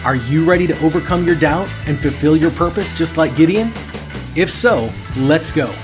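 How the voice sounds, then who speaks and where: A man delivers a scripted podcast opening in a rousing, declarative way. His voice sits a little high, and loud guitar music plays under him the whole time. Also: expressive, moderate pace. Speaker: podcast intro announcer. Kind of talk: scripted show intro over music.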